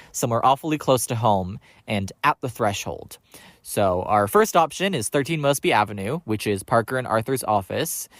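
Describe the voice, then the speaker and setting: A man with a mid-range voice delivers a prepared listener message. He explains things point by point, and the sound is dry with no room echo. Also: medium pitch.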